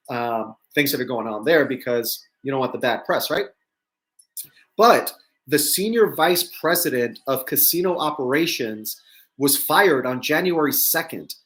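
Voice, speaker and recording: Chatty American man with mixed accent. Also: medium pitch. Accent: mixed American, touches of New York and Southern. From New York. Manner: chatty, rambling, frequent ums and asides to viewers. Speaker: host of a live news show. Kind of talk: casual livestream news chat.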